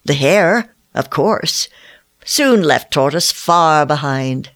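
A storyteller narrating a children's fable from a script in an expressive way. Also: medium pitch, slow.

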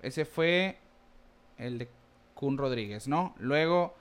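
A man co-hosting a casual baseball podcast, reading listener comments with quick asides. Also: mid-range voice, expressive, clear, resonant tone. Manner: chatty, reads comments aloud with quick asides and laughs.

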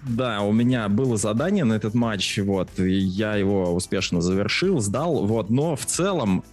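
A man with a fairly low voice co-hosts a casual football podcast. He breaks down matches analytically and talks with frequent "uh"s and restarts. Faint music sits under his voice. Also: animated, normal speed, darkish tone. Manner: opens by reciting a short poem, then talks analytically with many fillers. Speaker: podcast co-host.

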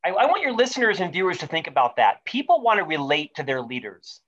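A man's voice: on the high side, very animated, fast talker, a bit bright, dull audio. A man in his fifties, a leadership author, talking as an interview guest with emphatic, carefully enunciated speech that stacks up lists of questions and points. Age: 50s. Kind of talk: animated interview answers.

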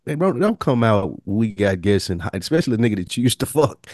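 A man's voice: on the low side, sing-song, brisk, a little mellow, no echo, studio quality. A man co-hosting a podcast in casual banter, slangy and emphatic, often repeating his phrases. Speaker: podcast co-host.

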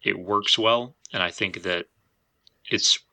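A man's voice: fairly low pitch, takes it slowly, creaky, bright, forceful tone. A man hosting a podcast, talking casually and feeling out his phrasing as he goes. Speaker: podcast host.

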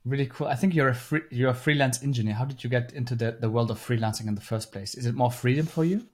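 Man, podcast host, fast talker, brisk questions. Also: mid-range voice, darkish tone. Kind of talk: interview questions.

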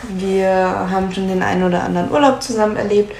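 A woman in her twenties from Munich, co-hosting a podcast in casual chat, chatty and quick to laugh, with frequent filler sounds. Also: mid-range voice, steady tone, creaky, darkish tone. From Munich, Germany. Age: 20s. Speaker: podcast co-host. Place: at home in Munich. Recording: echoey room with faint noise.

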